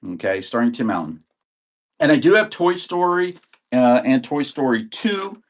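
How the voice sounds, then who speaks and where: A man in his sixties with a voice of average pitch, talking loosely and rambling on a live selling stream. He is getting over an illness and chats to viewers by name between items. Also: very animated, normal speed. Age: 60s. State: recovering from illness. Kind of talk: live auction stream chat.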